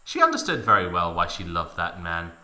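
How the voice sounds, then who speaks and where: Male comic narrator, fairly low but very animated and theatrical, mock-dramatic delivery, roomy echo. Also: fairly quick. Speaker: host, story reader. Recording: echoey room.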